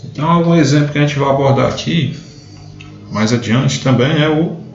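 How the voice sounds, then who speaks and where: A man's voice: fairly high pitch, moderate pace, soft background music. A man teaching a logic class in a live online lesson, explaining step by step and often starting with "então". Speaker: math teacher. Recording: echoey room, dull lo-fi sound.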